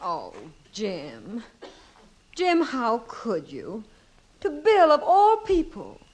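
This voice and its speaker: A woman's voice: fairly high pitch, huge pitch swings, very slow, darkish tone, a little hiss or hum, full character voice, rough recording. An actress playing an exasperated, weary wife and mother with an American accent, pleading in 1950s radio comedy style. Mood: reproachful.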